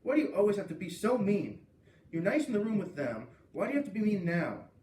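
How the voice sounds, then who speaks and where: A man's voice: high voice, big pitch swings, a little fast, darkish tone, voice pushed up. Man reading as an earnest young schoolgirl, echoey room. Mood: upset, fed up. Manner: earnest, plain-spoken. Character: kind, upset farm girl, earnest young student. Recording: roomy, distant mic with faint noise.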